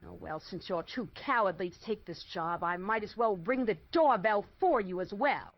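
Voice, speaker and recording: A woman's voice playing a scornful young reporter in 1940s radio style, exasperated, sarcastic and crisply spoken. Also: mid-range voice, animated, moderate pace, close to an everyday voice. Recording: muffled, noisy audio with background ambience.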